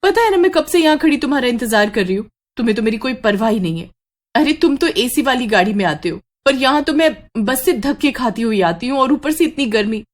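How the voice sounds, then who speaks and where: A woman voicing an excitable, emphatic young woman. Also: a little high, fairly quick, voice pushed up, close to an everyday voice. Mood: annoyed. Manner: emphatic, questioning, exclamatory. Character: excitable young woman, indignant bride, easily upset. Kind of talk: acted horror storybook dialogue in Hindi.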